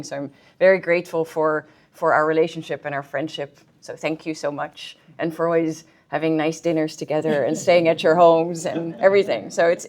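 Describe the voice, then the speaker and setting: A woman with a Dutch accent giving a friendly, chatty history talk to a library audience as a guest historian. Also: low-pitched. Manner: friendly, chatty, with filler ums and restarts. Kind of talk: public history talk with slides. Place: public library meeting room.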